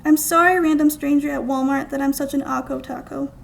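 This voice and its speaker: A young American woman gives a scripted reading of her own creative writing. Her voice is high with a noticeable creak, and she phrases lines deliberately and expressively. It is a live gallery recording with faint background noise. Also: steady tone. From United States, small-town Minnesota. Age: young adult. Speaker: student writer reading own work. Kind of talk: scripted literary reading aloud. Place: college art gallery, indoors.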